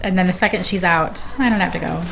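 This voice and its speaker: A middle-aged American woman chatting casually and animatedly about family life, quick to laugh. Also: lowish voice, steady tone, creaky, a bit bright, a little hiss or hum, rough recording. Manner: chatty, laughs easily, quotes people animatedly. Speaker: guest on a sibling's game stream. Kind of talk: casual chat, telling family anecdotes.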